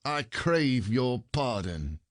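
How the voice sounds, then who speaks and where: A man's voice: mid-range voice, big pitch swings, slow, dry recording, clean studio sound. A man playing an aggrieved, stubborn common husband, indignant and grudging, in a classic comedy audio play.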